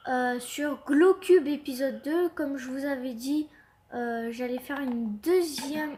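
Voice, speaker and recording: High-voiced young woman with a cold. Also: dark tone, a little hiss or hum. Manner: hesitant, many fillers and restarts. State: stuffy cold. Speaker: gaming channel host. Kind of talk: casual Minecraft commentary.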